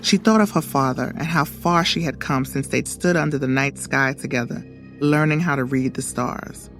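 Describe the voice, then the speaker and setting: A woman's voice: very deep voice, moderate pace. A woman reading a scripted story for children as its narrator. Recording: music under the voice. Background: background music.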